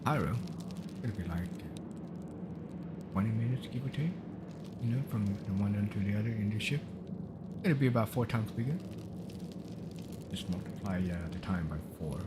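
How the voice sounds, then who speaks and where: A man from Sweden with a Swedish accent, casually commenting on a live game stream in a rambling, halting way as he plays. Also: fairly low pitch, lively intonation. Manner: rambling, halting, explaining while playing. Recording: loud ship engine noise behind.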